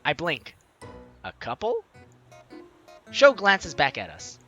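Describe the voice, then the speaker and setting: A woman's voice: low voice, huge pitch swings, slow pace, pushed and bright, muffled recording. A woman hosting a let's play, hammily reading game narration and lines aloud with playful asides. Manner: playful, hammy read-aloud with ad-libbed asides. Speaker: gaming host. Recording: background game music behind voice.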